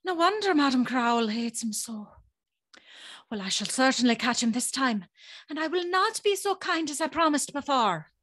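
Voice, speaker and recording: A woman's voice: sits high, normal speed. A woman playing an anxious, spirited young servant girl who tells her tale expressively in a gothic audio drama. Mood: exasperated, fearful. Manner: expressive, breathy, nervous.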